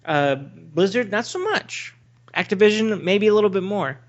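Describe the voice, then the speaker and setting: A man with a slightly high voice rambles through his opinions like a podcast co-host in casual discussion. The recording is a bit dull and lo-fi. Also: expressive, moderate pace. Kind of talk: casual discussion of video games.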